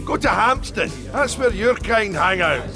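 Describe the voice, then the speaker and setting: Man acting a grumpy, high-voiced old British barman. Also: animated, unhurried, music in the background. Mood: surly. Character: grumpy, hostile older pub barman. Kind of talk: comedy radio play acting.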